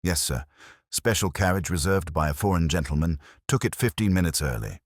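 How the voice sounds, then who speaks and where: A man voicing a polite railway ticket clerk in an audiobook, reporting briskly and respectfully. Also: low-pitched, expressive, no echo, clean studio sound. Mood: helpful, matter-of-fact. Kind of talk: dramatic audiobook dialogue.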